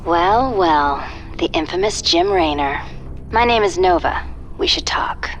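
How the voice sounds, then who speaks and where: A woman's voice: lowish voice, expressive, a little slow, slight background noise. A woman voicing a cool, determined psychic covert agent in a video game, controlled and military in tone. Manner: controlled, crisp, military briefing tone. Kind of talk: video game cutscene acting.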